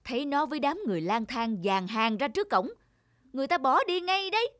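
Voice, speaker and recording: A woman's voice: sits high, lively intonation, a little fast, a bit bright, no echo, clean studio sound. A woman playing a scheming middle-aged stepmother in a cartoon, nagging and accusing in a sneering manner.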